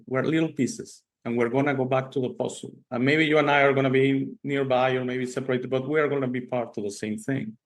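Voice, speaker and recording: A mid-pitched man from Italy hosting an interview. He speaks earnestly, repeating phrases for emphasis and letting his voice jump up on the words that matter. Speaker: show host.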